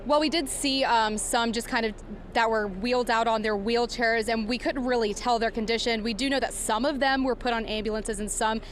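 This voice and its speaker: A woman field reporter speaks in a slightly high voice over noticeable background noise. She delivers a live breaking news report, explaining things as she goes, with halting, improvised phrasing. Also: steady tone. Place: outdoors outside a warehouse. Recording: clear background noise outdoors.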